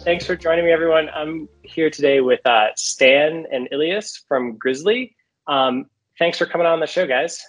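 A man's voice: on the high side, normal speed, faint music behind, poor audio. A man hosting a podcast, asking questions in a friendly, conversational way. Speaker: podcast host.

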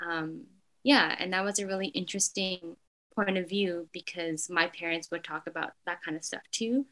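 Young woman interviewee, slightly low voice, hesitant and filler-heavy. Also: steady tone, moderate pace, rough recording. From Canada. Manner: frequent "like" fillers, soft and hesitant. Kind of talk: casual reflective interview.

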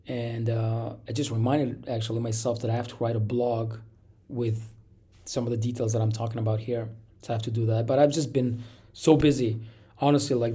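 Raspy-voiced man with a cold. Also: mid-range voice, warm tone. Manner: rambling, many fillers and restarts. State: has a cold, coughing. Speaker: startup founder. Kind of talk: casual update vlog.

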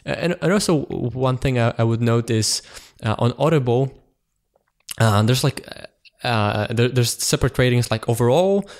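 A man's voice: medium pitch, expressive, normal speed, a little mellow. A man hosting a podcast, talking casually with many fillers and repeated words. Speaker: podcast host. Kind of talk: casual chat.

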